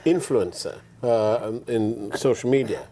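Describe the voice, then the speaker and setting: A man from Sri Lanka hosting a studio interview, speaking haltingly with many fillers and restarts. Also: medium pitch, warm tone. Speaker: talk show host.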